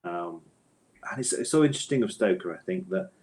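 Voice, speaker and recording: A man's voice: low-pitched, animated, fairly quick, a little mellow. A man chatting as an author guest in a literary interview, feeling his way through thoughts in a hesitant, digressive manner. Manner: hesitant, digressive, thoughtful phrasing with repeated tag questions. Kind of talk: casual literary interview chat.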